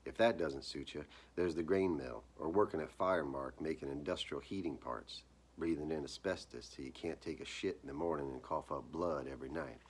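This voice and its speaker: Male author-narrator, extremely low register, gritty husky tone, deliberate storyteller's phrasing. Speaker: author reading own short story. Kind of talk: dramatic live reading of literary horror fiction.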